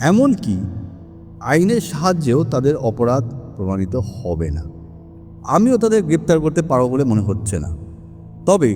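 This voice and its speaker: An actor playing a learned, methodical male detective in an audio story, laying out his reasoning on a supernatural case in a deliberate, emphatic way. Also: on the high side, warm tone. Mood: grave, measured. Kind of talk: dramatized audio story monologue. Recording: long hall reverb, music underneath.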